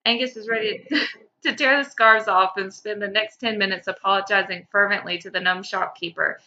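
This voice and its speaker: Bright woman narrating, slightly lo-fi. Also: medium pitch, fairly even intonation, moderate pace. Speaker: podcast co-host. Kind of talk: expressive fan fiction narration.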